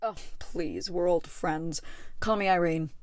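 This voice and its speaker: A woman's voice: on the low side, a little slow, a little mellow, close to an everyday voice. A woman acting a poised, cunning, seductive adventuress in an audio play, speaking in an insinuating way. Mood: teasing, confiding.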